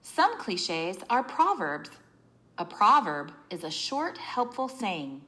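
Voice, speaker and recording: A woman reading a children's book aloud in an expressive, teacherly way, explaining as she narrates. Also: mid-range voice, slow pace.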